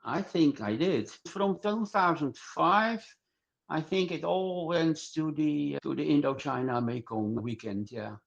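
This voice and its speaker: Older male podcast guest, higher-set voice, halting and repetitive delivery, muffled low-fidelity sound. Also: animated, vocal fry. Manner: halting, repetitive non-native English. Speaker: podcast guest, club singer. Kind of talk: casual interview answers.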